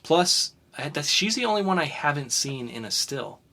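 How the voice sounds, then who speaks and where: A young man co-hosting a podcast, chatting casually through the news with fillers and sudden quick bursts. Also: fairly high pitch, very animated, bright, forceful tone. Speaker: podcast co-host.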